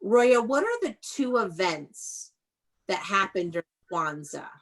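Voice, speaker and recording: A woman's voice: medium pitch, big pitch swings, takes it slowly, a bit bright, rough recording. Woman teacher leading an online read-aloud. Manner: instructive, prompting with questions and encouragement. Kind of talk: interactive read-aloud lesson with young children. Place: online video class.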